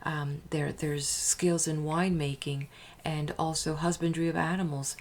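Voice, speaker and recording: Woman, low pitch, casual musing, hesitant restarts, soft whispery asides, faint background noise. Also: fairly even intonation, a little slow. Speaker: audiobook channel host. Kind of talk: casual spoken introduction.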